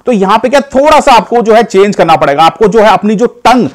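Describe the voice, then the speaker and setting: A man's voice: sits high, expressive, a little fast. A man from India chatting casually to camera as a vlogger, calling viewers "guys" and filling his talk with hesitations and restarts. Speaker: vlogger giving advice.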